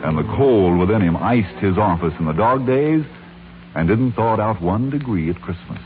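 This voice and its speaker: Dramatic 1940s radio narrator man, lowish voice. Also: lively intonation, normal speed. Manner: dramatic, declamatory, storyteller phrasing. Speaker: announcer and narrator. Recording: tinny 1940s radio broadcast, music behind.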